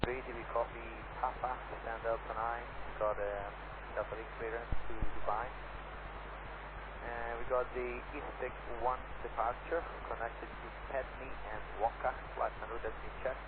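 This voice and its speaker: A man, an airline pilot, reading back clearances over aviation radio. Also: medium pitch, flat delivery, normal speed. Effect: aviation radio transmission. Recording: very noisy, tinny cockpit radio.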